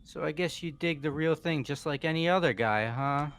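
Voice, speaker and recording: A man's voice: on the high side, normal speed, a bit bright, clear, resonant tone. A man voicing a laid-back, slangy young guy in a fan-dubbed game, casual and crude. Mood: teasing.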